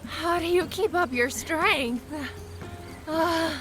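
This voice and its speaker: A woman's voice: very high voice, takes it slowly, music in the background. A woman playing a determined, weary young woman airship engineer in a fantasy audio drama. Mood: exhausted.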